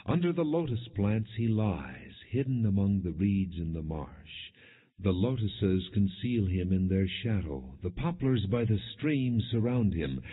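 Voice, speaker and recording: A man reading scripture as an audiobook narrator in a solemn, measured, declamatory manner. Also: fairly low pitch, big pitch swings, husky. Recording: muffled, narrow phone-like sound.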